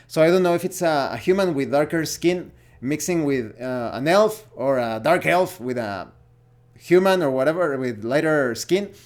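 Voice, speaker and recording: A man with a Mexican accent gives a casual opinion monologue as a gaming vlogger, speaking haltingly and searching for words. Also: fairly high pitch, lively intonation, darkish tone.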